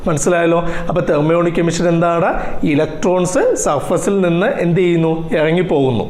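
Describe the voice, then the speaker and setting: A man's voice: on the high side, a little fast, a little mellow, reverberant. A man with a Malayali accent lecturing as a teacher, constantly putting questions to his students and answering them himself. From Kerala, India. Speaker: physics teacher at an online coaching class. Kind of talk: classroom lecture with English technical terms.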